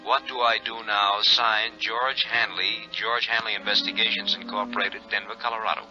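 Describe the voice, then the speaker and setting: A man's voice: fairly low pitch, level tone, moderate pace, sharp tone. A man acting out terse telegrams in a declamatory style for a 1950s radio drama. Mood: brisk, terse. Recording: muffled vintage radio recording with music.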